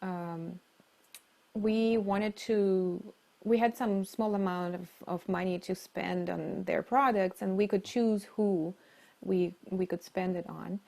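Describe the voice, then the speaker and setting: A woman's voice: mid-range voice, steady tone, slow pace, vocal fry, darkish tone. A woman from Siberia with a Russian accent, answering as an interview guest in a conversational style. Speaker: interview guest, marketing leader and coach.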